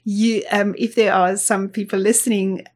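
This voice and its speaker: Afrikaans-speaking woman talking english, explains stuff, lots of restarts, mid pitch. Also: level tone, moderate pace, darkish tone. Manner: explanatory, thinks aloud with restarts. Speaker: guest, associate professor. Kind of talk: interview.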